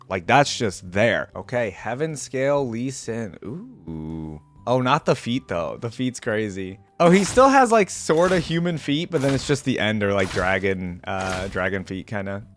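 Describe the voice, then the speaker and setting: A man hosting a reaction video with casual, off-the-cuff commentary, informal and exclamatory as he thinks aloud. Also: lowish voice. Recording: music and game audio behind voice.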